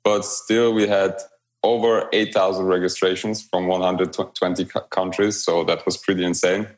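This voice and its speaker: Man, young adult, very low voice, relaxed, hesitant, guest interviewee. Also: moderate pace. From Romania. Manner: relaxed, hesitant with frequent fillers and restarts. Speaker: guest, blockchain company growth lead. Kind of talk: remote interview conversation.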